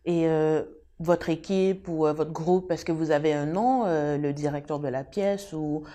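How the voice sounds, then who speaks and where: A young woman hosts an interview, her voice very low for a woman and mellow in tone. She asks long, winding questions, stalling on fillers as she goes. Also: fairly even intonation, a little slow. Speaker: show host, interviewer.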